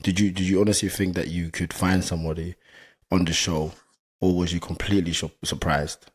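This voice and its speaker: A male interview host, hoarse from losing his voice, upbeat as he prompts his guests with questions. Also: low voice, level tone, brisk. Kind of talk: interview hosting.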